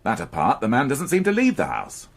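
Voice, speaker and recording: A man's voice: medium pitch, huge pitch swings, a little fast, creaky, heavily acted. An actor playing an irritable, sardonic male police inspector in a radio crime drama. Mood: defensive. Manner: curt, exasperated, sardonic.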